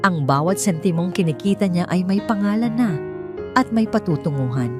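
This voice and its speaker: Low-pitched woman narrating a dramatic story. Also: animated, normal speed, music in the background.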